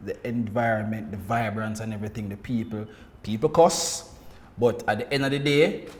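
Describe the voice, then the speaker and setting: A man's voice: fairly low pitch, moderate pace. A Jamaican man chatting casually and telling anecdotes as a performer on a podcast. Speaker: pantomime performer, podcast guest. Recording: faint background noise.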